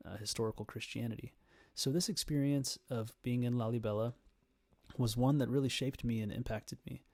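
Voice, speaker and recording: A young man giving an informal prepared speech in an earnest, hesitant manner with frequent pauses. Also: medium pitch, darkish tone, dry recording, studio quality. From Canada, Ontario. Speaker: student giving a persuasive speech.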